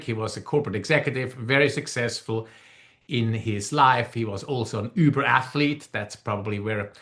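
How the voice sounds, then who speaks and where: A man's voice: medium pitch, fairly bright tone. A middle-aged man from Switzerland with a Swiss German accent, telling personal stories conversationally as a guest. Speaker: podcast guest, leadership coach.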